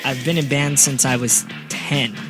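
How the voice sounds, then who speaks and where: A man's voice: mid-range voice, flat delivery, a little slow, music in the background. A man in his twenties hosting a podcast in a slangy, joking, casual chat style. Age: 20s. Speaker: podcast host.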